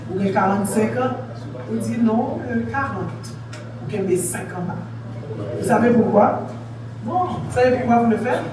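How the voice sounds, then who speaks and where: A preacher delivering a sermon to a congregation. The preacher is emphatic, repeats points and asks the congregation questions. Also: mid-range voice. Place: church hall in Haiti. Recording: very echoey, distant, noisy church recording.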